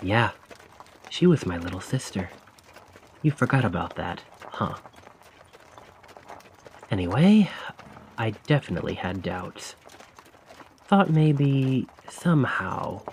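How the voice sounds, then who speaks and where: A man's voice: fairly low pitch, a little mellow, slight background noise. A man playing a vengeful, sardonic carriage driver in an ASMR audio drama, speaking in a conspiratorial, taunting way. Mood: cold, menacing. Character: vengeful male carriage driver, sly, mocking, coldly controlled.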